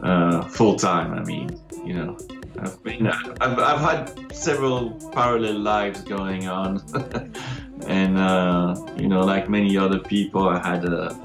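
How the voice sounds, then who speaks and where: Chatty Belgian-raised man, low voice. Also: slow, creaky, echoey room, music in the background. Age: 40s. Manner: rambling, many restarts, chuckles often. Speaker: DJ and producer guest. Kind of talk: casual interview chat.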